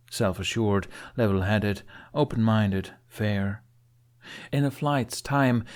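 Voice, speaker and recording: A man reading scripted fiction as an expressive audiobook narrator. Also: fairly low pitch.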